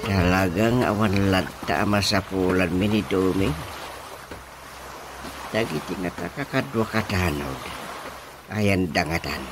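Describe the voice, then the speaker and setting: An actor playing an excitable village watchman in a radio drama, with an Ilocano accent and exclaiming speech. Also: low voice, background noise.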